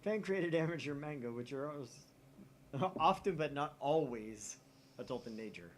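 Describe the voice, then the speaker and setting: Male let's play host from the US: animated delivery, reads game text and comments casually, faint noise behind. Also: medium pitch, normal speed. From United States. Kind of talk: casual reading aloud and commentary on a visual novel.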